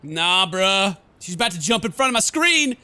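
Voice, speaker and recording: A theatrical, excitable man streaming a horror game, switching between dramatic read-aloud and jokey casual commentary. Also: high voice, normal speed. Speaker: gaming streamer. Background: game music.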